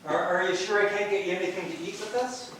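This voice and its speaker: A man's voice: high voice, steady tone, fast, fairly bright tone. A man playing an awkward, nervous older tax attorney, hesitant and polite, in a stage play rehearsal. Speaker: amateur stage actor in rehearsal. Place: echoey indoor rehearsal room. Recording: very echoey room, distant mic, faint noise.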